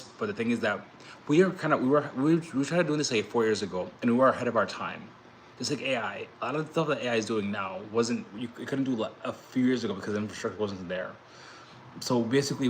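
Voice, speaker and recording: Casting: young man, low voice, chatty crypto vlogger, rambling and restarting, faint hiss behind. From Dominican heritage. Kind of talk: casual vlog monologue.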